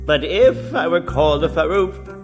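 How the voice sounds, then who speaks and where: Pompous alien crown prince for radio drama, male actor: high, swooping voice, grandiose and rambling, music underneath. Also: expressive, normal speed, lightly acted. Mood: grand, rambling. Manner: formal, grandiose, trailing off mid-speech. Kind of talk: radio play acting. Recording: music behind the voice.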